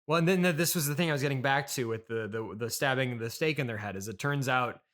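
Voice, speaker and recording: A man's voice: mid-range voice, fast, fairly bright tone. Man in his thirties, dryly pedantic. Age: 30s. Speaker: podcast host and interviewer. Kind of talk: casual improvised comedy interview.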